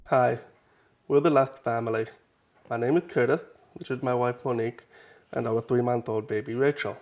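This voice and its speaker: A man's voice: mid-range voice, fairly even intonation, moderate pace, darkish tone. A man delivering a first-person introduction.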